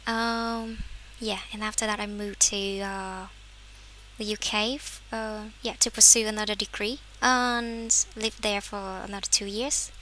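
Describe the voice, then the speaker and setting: Bright-voiced woman teaching English. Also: mid-range voice, level tone, measured pace, slight background noise, poor audio. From Vietnam. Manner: encouraging, correcting students' English. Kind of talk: online English class conversation.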